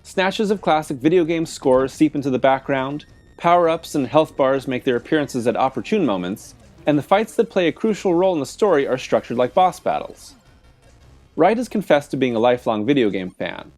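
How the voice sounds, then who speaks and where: Male video essay narrator, mid-pitched and animated in intonation, scripted read over a music bed. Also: a little fast. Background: background music.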